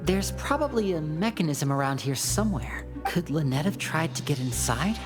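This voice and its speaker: A woman playing a guarded, analytical and protective young male team leader in a video game, speaking in a measured, serious way. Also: sits low, sing-song. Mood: analytical, composed. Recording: game dialogue over clear music.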